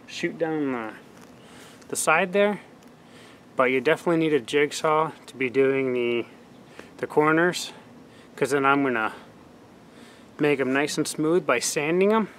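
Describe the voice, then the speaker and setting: Young host with a blocked-up cold voice, plain mid pitch, walks viewers through a build outdoors. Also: male voice, a bit bright, slight background noise. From Canada. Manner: chatty, explains steps to the camera. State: stuffy from a cold. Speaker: fishing channel host. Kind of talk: casual DIY how-to vlog. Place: outdoor driveway by a garage. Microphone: camera mic, talking to the lens up close.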